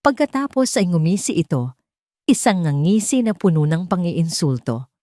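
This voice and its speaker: A woman with a low voice delivers scripted, dramatic story narration. The recording is dry and close, with no room sound. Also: big pitch swings, normal speed, dark tone, dry recording.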